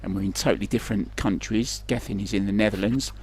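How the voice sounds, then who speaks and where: A man's voice: on the low side, steady tone, fast, darkish tone, a little hiss or hum. A man commentating pool remotely, chatty and joking, asking his co-commentator questions. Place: remote booth.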